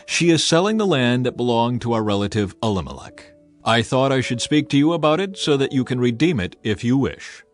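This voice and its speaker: A man's voice: mid-range voice, animated, a little fast, soft background music, close to an everyday voice. A man voicing a kind, decisive, honorable landowner with emphatic, formal speech in a Bible story. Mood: firm, formal.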